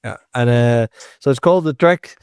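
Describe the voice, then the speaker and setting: Animated man hosting. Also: mid-range voice, moderate pace, clean tone, no echo, studio quality. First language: Danish. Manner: animated, emphatic, checks in with tag questions. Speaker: podcast host. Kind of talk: conversational interview.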